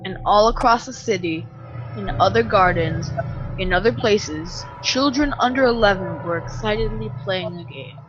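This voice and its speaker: A woman's voice: mid-range voice, moderate pace. A woman reading scripted narration for an audio drama, muffled, with music behind. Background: background music.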